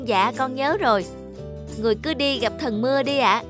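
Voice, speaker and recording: A woman's voice: sits high, moderate pace, over music, close to an everyday voice. A woman voicing an earnest, naive young tree sprite in a cartoon, youthful and tender-hearted. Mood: hesitant, dutiful. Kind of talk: cartoon character acting.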